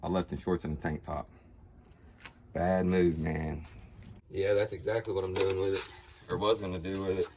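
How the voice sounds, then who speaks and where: A man's voice: low voice, little pitch movement, moderate pace, pauses often, a little mellow. A middle-aged American man, a motorcycle mechanic, telling stories casually in plain, colloquial language. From United States. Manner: plain-spoken, colloquial, cursing. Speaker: motorcycle mechanic and rider, interview guest. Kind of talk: casual storytelling and chat. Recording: clearly noisy background.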